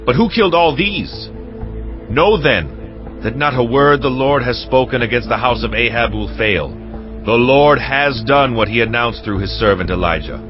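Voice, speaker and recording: An actor playing a ruthless, zealous warrior king in a dramatized Bible audiobook, speaking in a slow, imperious, declamatory way. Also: medium pitch, animated, lightly acted. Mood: bold, zealous. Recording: slightly dull audio, music behind. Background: background music.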